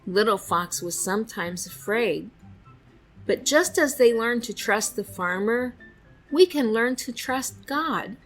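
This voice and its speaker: Woman, children's story narrator, unhurried pace, expressive and deliberate, mid-pitched, close studio mic, faint music. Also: poor audio. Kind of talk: expressive read-aloud narration. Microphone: close studio microphone.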